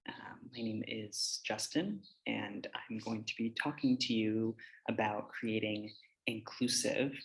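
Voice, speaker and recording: A man's voice: medium pitch, gravelly, a bit bright, low-quality recording. A man with an American accent giving an informal slide presentation in a conversational, hesitant manner. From United States. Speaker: teacher giving a conference presentation.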